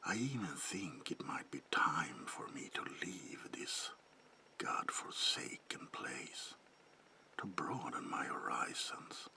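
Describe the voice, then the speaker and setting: A man playing a predatory, courtly vampire baron in a whispered ASMR roleplay, slow and theatrical, with a breathy gravelly whisper and softly menacing politeness. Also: extremely low pitch, very animated, heavily acted. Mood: gloating, musing.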